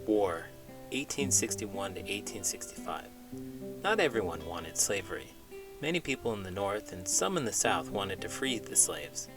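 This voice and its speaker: A man, a teacher, reading a children's book aloud in an expressive storytelling manner. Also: on the low side, normal speed. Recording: music playing behind.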